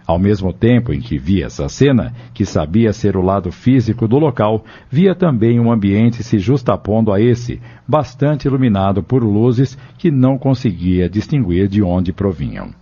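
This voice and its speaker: A man narrates an audio novel in a lowish, warm, relaxed voice. The recording is slightly dull, with faint music behind his scripted reading. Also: moderate pace, few pauses, husky.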